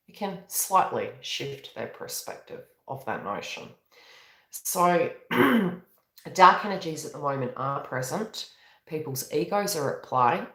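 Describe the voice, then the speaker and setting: A woman's voice: very deep voice, echoey room, rough recording. A woman with a New Zealand accent, a tarot reader and astrologer on a live stream, talking in a tired, rambling, conversational way with many self-corrections. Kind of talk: live-streamed spiritual reading.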